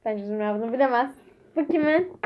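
A woman's voice: on the high side, lively intonation, brisk, lo-fi sound. A woman chatting casually as she hosts a homemade quiz game and speaks to viewers. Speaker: vlog host quizzing a child.

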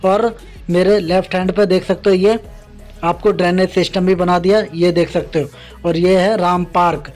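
A man from India narrating a casual vlog while walking outdoors at a highway construction site, pointing things out to his viewers as friends. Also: darkish tone. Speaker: vlogger.

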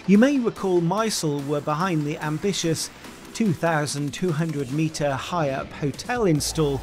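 A man narrating a scripted documentary voice-over in an even, informative way. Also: fairly high pitch, measured pace, soft background music.

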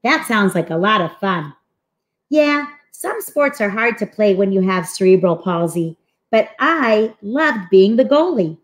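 A woman voicing friendly, eager schoolchildren from a comic book in an expressive, earnest read-aloud. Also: mid-range voice, unhurried, lightly acted. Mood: grateful, earnest. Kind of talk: animated storybook read-aloud.

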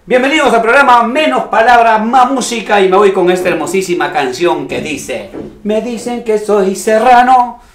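Lively male TV host, roomy sound. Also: high voice, normal speed. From Cajamarca region of Peru. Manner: lively, promotional, talks to viewers directly. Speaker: TV show host. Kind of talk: upbeat broadcast hosting.

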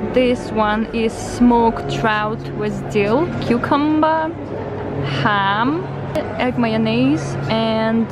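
A young woman from Ukraine, speaking English as a second language, chatting enthusiastically to her viewers while filming herself with a handheld camera. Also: medium pitch. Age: young adult. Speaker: vlogger. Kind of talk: casual vlog narration. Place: city streets and a restaurant, indoors and out. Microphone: handheld camera at arm's length. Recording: loud background music.

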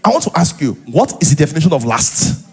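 A middle-aged man with a Nigerian accent and a husky voice, preaching as a pastor to a live congregation in an animated, conversational way, full of interjections and rhetorical questions. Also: fairly high pitch, fast talker, soft background music. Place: large church auditorium, on stage. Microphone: headset.

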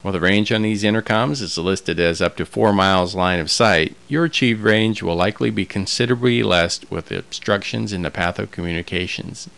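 A man reading a scripted promotional narration in a measured, informative way. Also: fairly low pitch, creaky, fairly bright tone, smooth. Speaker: product video narrator.

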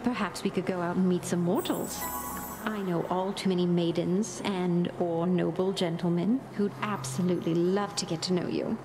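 A woman's voice: fairly low pitch, fairly even intonation, moderate pace, close to an everyday voice, poor audio. A woman playing a flirtatious, doting goddess of love in a video game, coy and teasing. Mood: inviting. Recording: loud game music behind.

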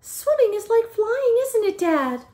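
A woman's voice: very high voice, very animated, measured pace, mellow, pitched above the performer's own voice, heavily acted. A woman voicing an earnest, childlike little boy penguin in a storybook, eager and determined to fly. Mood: delighted.

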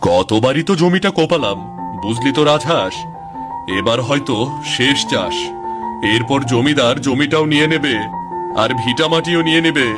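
A man's voice: mid-range voice, expressive, moderate pace, pauses often, music in the background, lightly acted. A man playing a poor, earnest village farmer in a cartoon folk tale, plaintive and questioning himself. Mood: despondent. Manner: exclamatory, self-questioning, plaintive. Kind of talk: Bengali animated fairy-tale dialogue.

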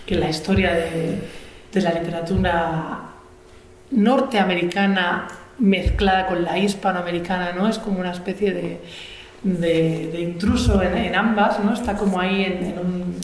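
Una mujer que modera una charla literaria sobre el escenario de un auditorio, hablando con titubeos y frases que vuelve a empezar. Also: female voice, fairly low pitch, steady tone. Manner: halting, many restarts and fillers. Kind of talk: onstage literary conversation. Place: large auditorium stage. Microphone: table mic. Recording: echoey auditorium, faint noise.

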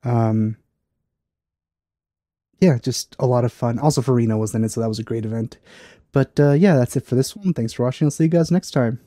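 A man's voice: medium pitch, brisk, pauses often, dark tone. A man in his thirties giving casual reaction commentary in a hesitant, rambling way, recorded close on a headset mic. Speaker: YouTube reaction host. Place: indoor room, close to the mic.

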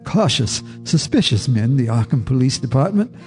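Brief: man narrating a horror radio play, measured and grave, mid pitch, faint music under. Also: very animated, a little mellow. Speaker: narrator, first-person character.

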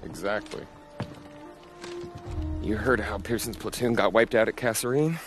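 A man's voice: lowish voice, unhurried, music in the background, lightly acted. Wry, cynical army private played by a man. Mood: wry doubt. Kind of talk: WWII video game cutscene acting.

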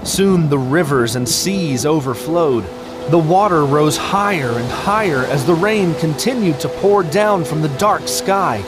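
A man narrates a scripted Bible story for children. His middle-pitched voice rises and falls widely, and he phrases each line carefully at a slow pace. Music plays clearly behind him.

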